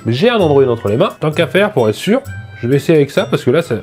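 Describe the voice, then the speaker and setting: A man's voice: on the high side, very animated, fast talker, darkish tone. A man with a head cold giving a casual unboxing review, chatty and self-mocking. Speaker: tech reviewer. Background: faint music from the speaker being tested.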